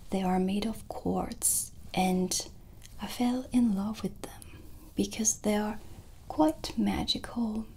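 Slow, whispering woman doing ASMR. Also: mid-range voice, steady tone. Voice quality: breathy, whispered. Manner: gentle, unhurried, with long pauses. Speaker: ASMR host playing a witch shopkeeper. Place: small dark candlelit indoor set. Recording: background ambience noise.

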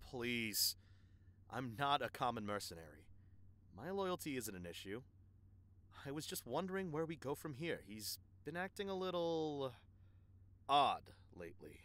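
A man playing a cocky, needling henchman in an audio drama, flippant and expressive. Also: mid-range voice, a little slow, pauses often. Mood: cocky, probing. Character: cocky male mercenary henchman, restless and needling. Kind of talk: audio roleplay drama acting.

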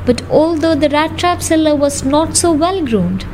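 A woman's voice: high voice, lively intonation, a little slow, darkish tone, a little hiss or hum, low-quality recording. A young woman, a student narrator, reading scripted story narration for a radio drama. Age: young adult.

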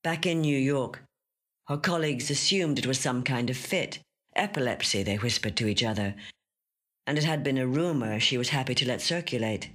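A woman actor reads a literary short story in a dramatic, measured and deliberate narrating style, recorded in a studio. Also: extremely low pitch, lively intonation, fairly bright tone. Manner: measured, deliberate, repeating phrases for weight.

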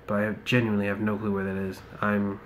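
A young man from the United States giving relaxed commentary while playing a mobile football game, muttering asides and ranting now and then. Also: lowish voice, fast, a little hiss or hum. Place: indoor room.

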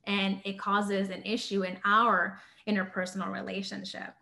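A woman's voice: mid-range voice, flat delivery, normal speed, gravelly. A young woman hosting a podcast interview over a video call, speaking in an articulate, reflective way. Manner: articulate, reflective, warm encouraging. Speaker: podcast host. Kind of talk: conversational interview. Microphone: webcam on video call.

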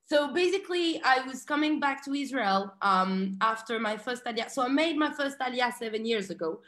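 A woman in her thirties, a guest on a video call interview, chatty and animated, telling stories from her bright room at home. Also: a little high, moderate pace, roomy sound. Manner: chatty and animated, laughs often, gestures with hands. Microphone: video call webcam.

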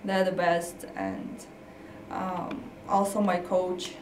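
Young woman speaking halting English. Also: on the low side, sing-song, echoey room, recorded from a distance, background noise. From Kazakhstan. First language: Kazakh and Russian. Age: young adult. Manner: halting, searching for words. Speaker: college tennis player. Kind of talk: casual interview. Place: office indoors.

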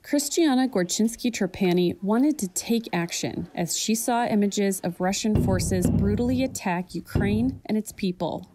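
A woman reporter reading a scripted news voice-over in a steady, clear manner. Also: medium pitch, a little slow, hardly any pauses, soft background music.

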